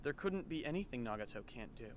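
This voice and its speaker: A man's voice: mid-range voice, very animated, quick pace, slight background noise, lightly acted. A man playing a wry, earnest teenage schoolboy time traveler in an anime fan dub, with a conversational delivery. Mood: wry, confident.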